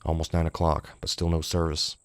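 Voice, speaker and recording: A man's voice: very deep voice, completely flat delivery, brisk, smooth, no echo. A man reading scripted horror fiction as an audiobook narrator.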